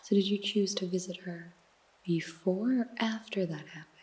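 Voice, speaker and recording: An actress playing a sly woman detective in a noir ASMR roleplay, questioning a suspect in a slow, teasing, mostly whispered voice. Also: mid-range voice, darkish tone, natural voice. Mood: teasing, probing.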